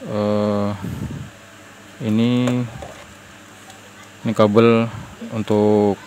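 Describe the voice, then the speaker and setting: A man with a fairly low voice talks informally as he demonstrates something by hand. His delivery is halting, with pauses mid-phrase. A faint noise sits behind him in the recording. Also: monotone, dark tone, rough recording. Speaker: host of a DIY audio channel. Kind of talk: casual hands-on demonstration.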